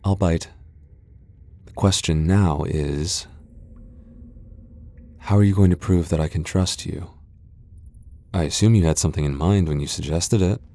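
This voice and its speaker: Casting: male actor as haughty tsundere vampire lord, low-pitched, prickly and easily flustered, for intimate boyfriend roleplay audio. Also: a little slow, long pauses, mellow. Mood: guarded, smug. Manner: haughty, defensive, stammering when flustered. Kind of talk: ASMR boyfriend roleplay acting.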